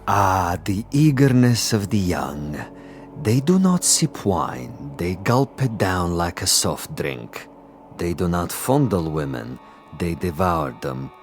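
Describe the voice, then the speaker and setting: An actor playing a suave, cruel Soviet commissar in a radio drama, theatrical and deliberate, with silky irony. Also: male voice, sits low, very animated, soft background music, heavily acted. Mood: patient, pompous. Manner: theatrical, deliberate, silkily ironic.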